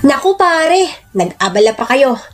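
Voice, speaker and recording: Woman playing a grateful young seaman, polite, humble, high voice, radio drama, faint music. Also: big pitch swings, a little slow.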